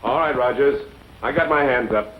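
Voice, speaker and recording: An actor playing a boastful, cold-blooded outlaw in an old western, talking in slangy, menacing lines. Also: male voice, medium pitch, moderate pace, close to an everyday voice. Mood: sly, cool. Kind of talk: 1940s western film acting. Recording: dull, noisy old film soundtrack.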